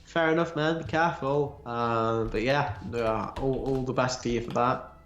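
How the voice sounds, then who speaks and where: A man's voice: medium pitch, fairly even intonation, normal speed, fairly bright tone, lo-fi sound. A man co-hosting a livestream in a casual, jokey way as he chats and reads out comments. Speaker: livestream co-host. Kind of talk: casual livestream chat, reading viewer comments. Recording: roomy echo.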